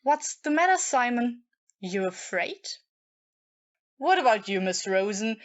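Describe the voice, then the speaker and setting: Woman acting a high-voiced, bitter, drunken jilted lover. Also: sing-song, a little slow, highs cut off. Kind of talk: audio play acting.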